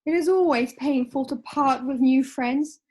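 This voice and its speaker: Casting: a woman as prim romantic girl in a stage comedy read-through, very high voice, composed and sweet. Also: measured pace, a little mellow. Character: sweet, self-possessed, romantic girlish young lady. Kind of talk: amateur play read-through. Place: at home on a video call. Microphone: video call.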